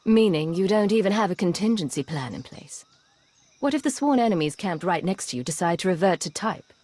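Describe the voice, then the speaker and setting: A woman's voice: lowish voice, expressive, brisk, a little mellow. A woman voicing a composed imperial spy in a video game, controlled and probing. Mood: challenging. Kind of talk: video game character acting.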